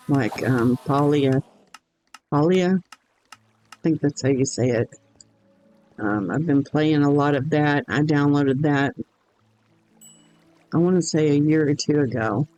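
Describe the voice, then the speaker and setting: Slow, hoarse man chatting on stream. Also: on the high side, darkish tone, rough recording. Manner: slow, rambling, many ums and pauses. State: hoarse, voice going in and out. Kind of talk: casual gaming stream chat.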